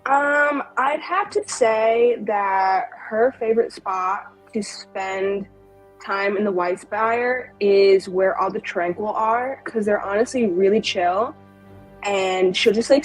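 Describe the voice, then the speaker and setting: A woman tells an improvised story as a tabletop game player. Her voice is a little husky and sits at a medium pitch, and her delivery is casual and playful. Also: slow, soft background music, low-quality recording. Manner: playful, informal.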